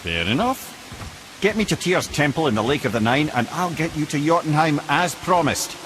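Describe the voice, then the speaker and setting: Guy doing a talkative wise old head for a video game, wry and courtly, pitch goes all over. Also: animated, moderate pace, noisy background, natural voice. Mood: wry, storytelling. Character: wry, chatty ancient severed talking head, wise advisor. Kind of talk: cinematic video game acting. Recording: music behind the voice.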